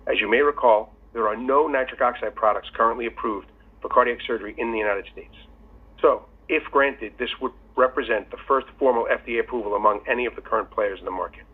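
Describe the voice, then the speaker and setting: A man in the role of company executive gives a scripted earnings call presentation in a formal, measured way. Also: medium pitch, breathy edge. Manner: formal, measured reading of prepared remarks. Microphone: telephone conference call. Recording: tinny phone line with faint noise.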